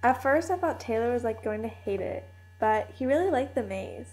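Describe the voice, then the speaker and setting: A woman's voice: a little high, animated, moderate pace, darkish tone, natural voice. A woman playing a gushing young tourist with casual, filler-heavy speech in a scripted puppet show.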